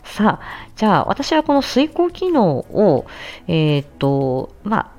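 A woman's voice: mid-range voice, huge pitch swings, slow pace, a little mellow, soft background music. A woman hosting a solo talk, explaining things casually and conversationally as a speech therapist, with emphasis and frequent appeals for agreement. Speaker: speech therapist, audio show host. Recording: slightly dull, muffled lo-fi recording.

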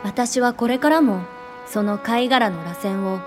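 Actress as idealistic young woman, high lively voice, earnest, wondering, radio drama, music behind. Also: measured pace, lightly acted.

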